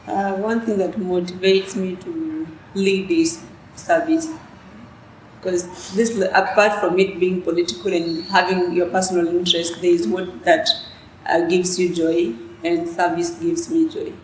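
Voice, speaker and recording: Young woman from south sudan, native dinka speaker, lowish voice, hesitant, echoey room with faint hiss. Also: steady tone, a little slow. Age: young adult. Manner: soft-spoken, hesitant with many restarts. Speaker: student leader guest. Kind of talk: interview answers.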